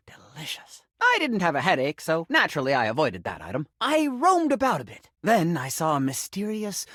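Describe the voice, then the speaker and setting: A man's voice: on the high side, bright, forceful tone, no echo, clean studio sound, exaggerated voice. A man playing a deluded talking sink in a video game, pompous and melodramatic in manner. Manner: pompous, melodramatic, prone to indignant exclamations. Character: deluded talking sink who believes he is an insurance adjuster. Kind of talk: theatrical video game character acting.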